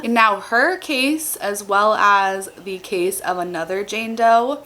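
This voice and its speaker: A young woman with a sore throat, sounding slightly raspy, narrating a true crime case to camera in a chatty, earnest way. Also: fairly low pitch, a bit bright. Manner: chatty and earnest, apologetic asides.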